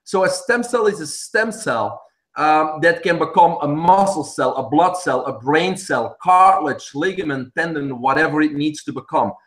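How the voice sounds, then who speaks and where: A man's voice: on the high side, normal speed, a bit bright. Belgian-accented man explaining plainly. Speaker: guest, stem cell clinic head. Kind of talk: interview answers.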